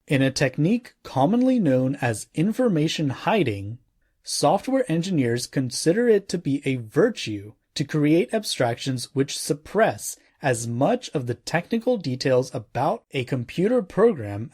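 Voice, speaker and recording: A man reading a scripted non-fiction audiobook in a formal, measured way with deliberate phrasing. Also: fairly high pitch, very animated, a little mellow, husky. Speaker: audiobook narrator.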